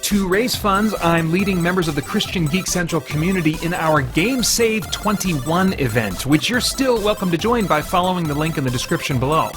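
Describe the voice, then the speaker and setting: A man with a high-pitched voice presents as the host of an online channel. He delivers an upbeat scripted pitch with playful self-deprecation. Music plays behind him. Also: expressive, normal speed, few pauses. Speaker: host of a geek-culture review channel. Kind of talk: upbeat scripted review outro and fundraiser pitch.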